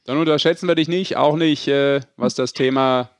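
A man's voice: medium pitch, fairly even intonation, normal speed, smooth, no echo, studio quality. A male podcast host from Düsseldorf, asking long rambling questions with fillers and a joking tone. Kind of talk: casual podcast interview.

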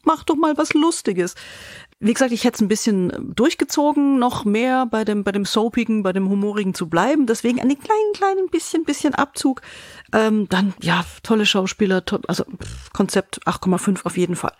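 Woman, animated intonation, podcast co-host, colloquial and expressive, casual review chat, dry recording. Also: on the high side, moderate pace, dry recording.

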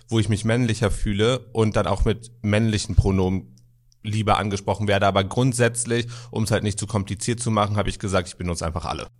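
A man around thirty, speaking thoughtfully and a little hesitantly as a guest on an interview podcast. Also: lowish voice. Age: 30s. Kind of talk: conversational interview. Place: in a shop, indoors.

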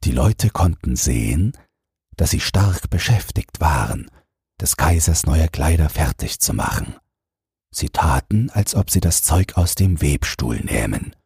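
A man's voice: rumbling low, sing-song, a little slow, creaky, a little mellow, breathy edge, dry recording, studio quality. A man narrating a fairy tale as a bedtime audiobook, reading from a script.

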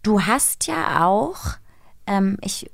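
A woman's voice: mid-range voice, big pitch swings, extremely slow, gravelly. A woman co-hosting a casual studio chat in a playful, enthusiastic manner. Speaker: co-host. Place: radio studio.

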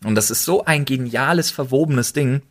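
A man's voice: medium pitch, moderate pace. A German man co-hosting a casual podcast, speaking colloquially and emphatically, often doubling up his intensifiers. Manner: colloquial, emphatic enthusiast who repeats intensifiers. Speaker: podcast co-host.

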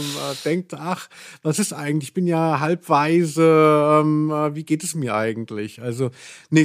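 A man co-hosting a podcast, chatting casually. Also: on the high side. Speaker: podcast host. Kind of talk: casual chat about audio dramas.

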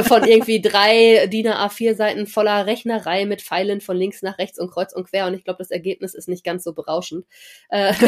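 Brief: woman, mid pitch, hoarse from a cold, chatty podcast co-host. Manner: chatty, laughs easily. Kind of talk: casual podcast chat.

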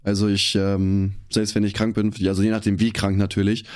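A hoarse young man co-hosting a podcast, chatting casually in slang with lots of fillers. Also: on the low side, monotone, a little fast, no echo, studio quality. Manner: laid-back, slangy, many fillers. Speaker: podcast co-host and streamer. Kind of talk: casual chat.

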